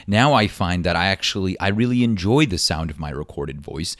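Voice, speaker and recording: A man with a deep baritone voice, hosting his own podcast and musing earnestly with many ums and likes. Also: brisk, no echo, clean studio sound. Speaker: podcast host. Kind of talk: solo podcast monologue. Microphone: podcast microphone.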